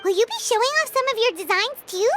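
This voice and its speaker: A tiny, chirpy game companion talking in a very high, piping voice that bounces all over the place. She refers to herself by name rather than "I," eager and nosy. Game music plays steadily behind her. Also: animated, fast talker. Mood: curious. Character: tiny floating female companion, chatty, excitable. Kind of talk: game voice acting.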